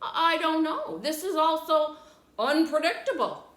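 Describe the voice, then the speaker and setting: A woman voicing a stern, indignant older woman who scolds loudly. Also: high-pitched, very animated, a little slow, voice pushed up, full character voice. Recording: roomy echo.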